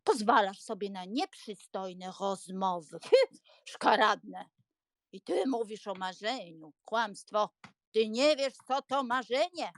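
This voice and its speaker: Woman acting a slow, prim elderly aunt. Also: medium pitch, expressive, dry recording, clean studio sound. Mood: scandalised, indignant. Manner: emphatic, moralising, fussy. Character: elderly spinster aunt, earnest, prim, easily scandalised matchmaker. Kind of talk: dramatised audiobook acting.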